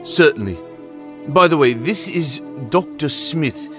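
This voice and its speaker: A man's voice: on the high side, lively intonation, slow pace, a bit bright, soft background music. A man playing a polite, formal, deferential hotel receptionist in an audio drama. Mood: courteous. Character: courteous, soothing male castle hotel receptionist.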